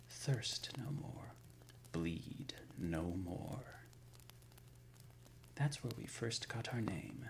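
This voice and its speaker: An ancient undead lord of a secret order , played by a man, speaks very slowly in a low, creaking half-whisper. His diction is formal and old-fashioned, solemn and brooding, in the manner of an intimate ASMR roleplay. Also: exaggerated voice, poor audio. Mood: solemn, proud. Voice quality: breathy near-whisper with gravelly creak.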